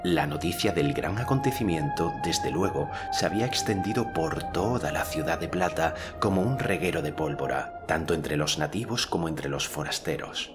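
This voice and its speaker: A man narrates literary fiction in an extremely deep voice. Music plays clearly behind his scripted audiobook reading. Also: normal speed, few pauses.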